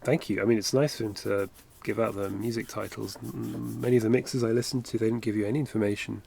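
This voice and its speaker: A man's voice: on the low side, fairly even intonation, fast talker, gravelly, warm tone, slight background noise. A man in his thirties chatting in a rambling, self-correcting way while drawing on a livestream, recorded on a headset at home. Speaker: animation streamer. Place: home studio, indoors.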